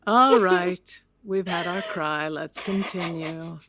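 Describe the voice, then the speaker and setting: A haughty, indignant widow. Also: female voice, fairly low pitch, takes it slowly, crowd noise, rough recording. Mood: scornful, curt. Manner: sharp-tongued, imperious, clipped retorts. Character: haughty, indignant, bitter widow of a town founder. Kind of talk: period audio drama acting.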